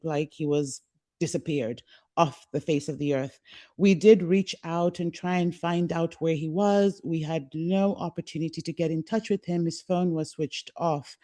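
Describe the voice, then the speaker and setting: Woman, from Zambia, urgent appeal, mellow, dry video call audio. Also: fairly low pitch, no room sound. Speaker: guest speaker. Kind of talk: online press conference statement. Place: home, based abroad.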